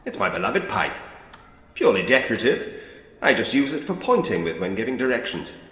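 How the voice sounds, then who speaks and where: A man's voice: medium pitch, very animated, fairly quick, a bit bright, a little hiss or hum. A man playing a genial Victorian police detective with a British accent in a ghost story game, polite, quaint and dryly humorous. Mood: dryly whimsical. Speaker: player character in a point-and-click ghost story game. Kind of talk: video game voice acting. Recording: echoey reverberant hall sound with music. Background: music.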